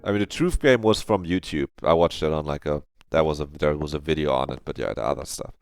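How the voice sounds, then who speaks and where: A man's voice: extremely low pitch, lively intonation, fast, clean tone, dry recording, faint music behind. A man reading a visual novel aloud on a livestream, with casual, joking, sweary asides.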